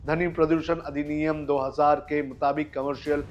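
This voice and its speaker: A man's voice: on the high side, level tone, moderate pace, dull audio, slight background noise. A man presents the news in a scripted narration style over a music bed. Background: background music.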